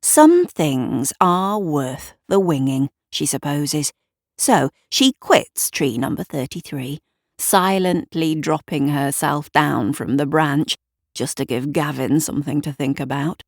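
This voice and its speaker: A woman narrating a literary audio drama in a measured storyteller's manner. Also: low voice, lively intonation, dry recording, clean studio sound.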